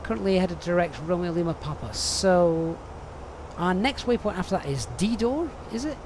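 A man's voice: high-pitched, lively intonation, moderate pace, noisy background. A man streaming a flight simulator, chatting and reading out viewer questions with many ums and uhs. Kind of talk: live stream commentary.

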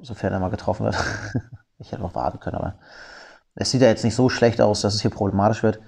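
A man with a slightly low, gravelly voice and a cold talks through a mobile puzzle battle. His tone is dark and mellow, and he explains each move analytically, pausing with fillers as he thinks it over. It sounds like a home desktop microphone. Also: steady tone. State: has a cold. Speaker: gameplay commentator. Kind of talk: gameplay commentary.

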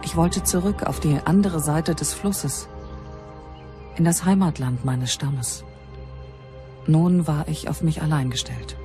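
Grave Germanic priestess, over music. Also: female voice, low voice, slow pace, pauses often, mellow. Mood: solemn, wary. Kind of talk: dramatised first-person documentary narration. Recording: music behind the voice.

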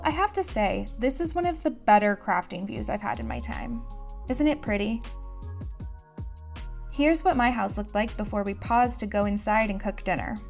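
A young woman narrating her travel and crafting vlog in a voice-over, enthusiastic and gushing. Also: a little high. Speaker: vlogger.

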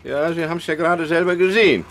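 An actor playing a brash, blunt bike-courier trainer in a radio comedy, colloquial, self-righteous and exasperated. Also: male voice, fairly high pitch, steady tone, fast talker, fairly bright tone, slight background noise, natural voice. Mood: exasperated, smug. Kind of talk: radio comedy sketch, colloquial interview and shouted coaching.

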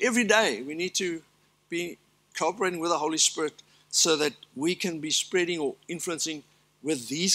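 Bright-voiced older pastor preaching. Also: male voice, a little high. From South Africa. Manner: earnest preaching with emphatic lists and asides. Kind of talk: sermon. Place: large church stage.

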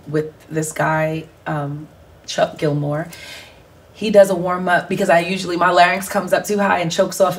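A woman worship singer talking thoughtfully as a guest on an interview set, with many pauses and ums. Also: on the low side, recorded from a distance. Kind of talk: casual interview chat. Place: bright living-room studio set.

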